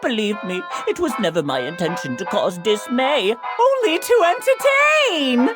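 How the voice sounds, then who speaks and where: A flamboyant jester of lies in a cartoon game. The voice is high and swoops wildly, drawing words out with singsong, mock-humble grandeur. Loud music plays behind. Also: female voice, very animated, measured pace. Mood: mock apologetic. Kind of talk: grandiose scripted stage narration.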